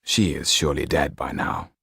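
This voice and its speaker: Man playing a low, husky, weary old lawyer. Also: normal speed, bright, forceful tone, no room sound, studio quality, heavily acted. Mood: flustered. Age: older. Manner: hesitant, grave, low-voiced. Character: weary, kindly old male French lawyer, anxious and regretful.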